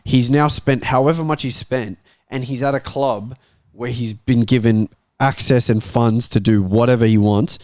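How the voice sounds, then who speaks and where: A man's voice: mid-range voice, fairly even intonation, moderate pace, a little mellow, no echo. A man hosting a casual football panel and giving his own views in the debate.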